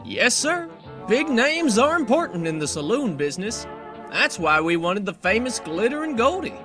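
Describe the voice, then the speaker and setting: A man's voice: high-pitched, big pitch swings, moderate pace, over music. A man acting a brash, confident Wild West lawman turned saloon businessman in a fan comic dub. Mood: pleased, brisk.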